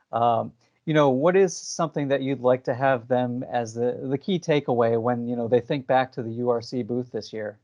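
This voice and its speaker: A man's voice: medium pitch, darkish tone. A man working as an interviewer, asking conversational trade interview questions. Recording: dull, lo-fi sound.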